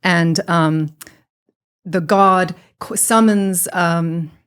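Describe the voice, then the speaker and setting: Lower-voiced woman explaining thoughtfully. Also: steady tone, extremely slow, fairly bright tone, smooth. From grew up outside Finland, Finnish parents. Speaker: guest, writer and amateur sauna historian. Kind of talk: explanatory conversational storytelling.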